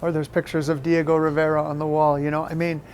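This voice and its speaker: A middle-aged man from Maine with an American accent, talking reflectively in an interview in a halting, thoughtful way. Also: on the high side, monotone, a little mellow, slight background noise. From Maine, United States. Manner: halting, thoughtful, repeats words for emphasis.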